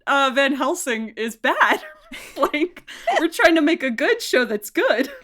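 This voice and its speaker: Female interviewee, higher pitch, very animated delivery. Informal, emphatic producer talk. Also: slow. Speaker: audio drama producer and director being interviewed. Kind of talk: casual chat.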